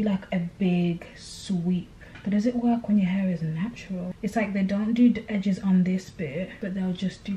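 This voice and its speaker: A woman's voice: mid-range voice, warm tone, muffled recording, a little hiss or hum. A young woman in her twenties, originally from Tanzania, chatting casually to camera at home. She rambles and confides, often asking "do you know what I mean". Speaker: vlogger. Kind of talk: casual chat to camera while doing her hair. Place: small indoor room at home.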